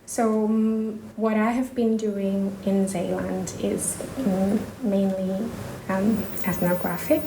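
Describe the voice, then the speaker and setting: Woman from Indonesia, researcher guest, gravelly edge, halting and thoughtful, noisy echoey room. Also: medium pitch, flat delivery. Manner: thoughtful, many fillers and restarts. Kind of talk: casual interview talk.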